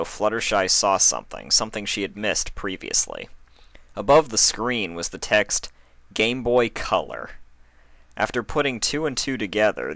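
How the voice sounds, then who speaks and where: A man reading a scripted story as narrator, with dramatic, deliberate delivery. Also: low voice, bright, forceful tone, dry recording. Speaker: fan fiction reader and narrator.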